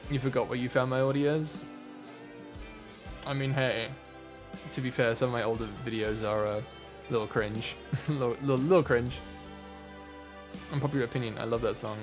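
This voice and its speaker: A man hosting a livestream, chatting casually with viewers in an animated, joking, sweary way. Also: medium pitch, normal speed, pauses often, music in the background. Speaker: livestream host, voice actor. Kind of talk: casual chat with viewers, reading comments.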